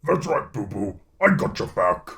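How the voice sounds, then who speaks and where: A man voicing a menacing, brash and sarcastic alien symbiote monster with a growling, gravelly voice, in a narrated story. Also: lowish voice, big pitch swings, unhurried, fairly bright tone, recorded from a distance, heavily acted. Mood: cocky.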